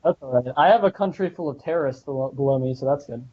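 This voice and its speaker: A man's voice: medium pitch, quick pace, background noise. A young man in a casual gaming voice chat, plain-spoken, asking practical questions. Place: online voice call. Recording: lo-fi voice chat audio.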